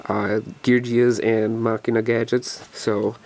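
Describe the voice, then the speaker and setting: A man's voice: mid-range voice, level tone, takes it slowly, darkish tone, a little hiss or hum. A young man with a cold, sounding congested and short of breath, rambling through a card deck walkthrough with many ums and restarts. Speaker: deck profile video host. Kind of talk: casual game deck walkthrough.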